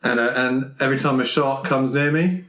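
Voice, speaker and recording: A man's voice: mid-range voice, fairly even intonation, brisk, fairly bright tone. A man playing a tabletop roleplaying game in a casual, improvised way, speaking in hesitant, repetitive phrases. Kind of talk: casual improvised in-character play. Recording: roomy echo, faint noise.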